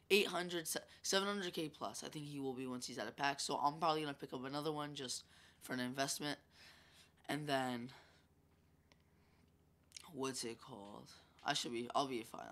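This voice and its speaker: A young man commentating his own gameplay in a loose, rambling way, full of ums and asides. His voice is bright but stuffy and congested. He talks into a desk mic over the game. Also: medium pitch. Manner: chatty, rambling, filler-heavy. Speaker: gaming YouTuber.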